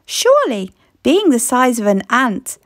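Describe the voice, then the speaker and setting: A woman reading a children's story aloud in expressive scripted narration. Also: fairly high pitch, a little slow, no room sound, studio quality.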